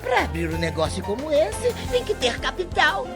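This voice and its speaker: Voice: high voice, huge pitch swings, moderate pace, heavily acted. A performer playing a spiteful, insulting sibling in a soap-opera parody, with a melodramatic, singsong, mocking delivery. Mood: taunting. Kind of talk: exaggerated telenovela parody dialogue. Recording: music playing behind dialogue.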